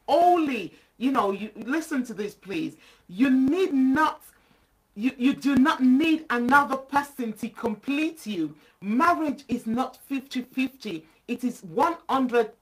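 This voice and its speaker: A woman hosts a talk show from a studio set. She talks with warmth and encouragement, reading viewer messages aloud and then turning to ask her guests questions. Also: fairly high pitch, poor audio. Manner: warm, encouraging, reads viewer comments. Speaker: host.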